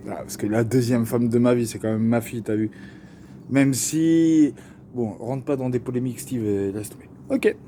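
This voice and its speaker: A man's voice: medium pitch, moderate pace, mellow, a little hiss or hum. A young man with a Parisian accent telling a personal story to camera, slangy and joking, with lots of fillers. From Paris region. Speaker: vlogger.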